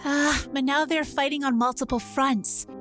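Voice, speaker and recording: A woman playing a stubborn yet vulnerable young mech pilot in an audio drama, speaking haltingly. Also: sits high, steady tone, fairly bright tone, faint music behind. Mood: thoughtful. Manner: halting, unsure.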